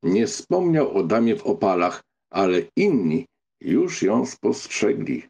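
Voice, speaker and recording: A man narrating a literary audiobook from a script, with a gravelly, husky, mellow voice. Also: lowish voice, very animated, takes it slowly.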